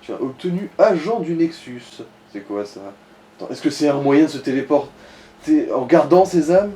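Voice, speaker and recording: Mellow, slightly high man coaching a gamer. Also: lively intonation, moderate pace. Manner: crude slangy coaching. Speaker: gaming co-commentator giving advice. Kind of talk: casual gaming commentary.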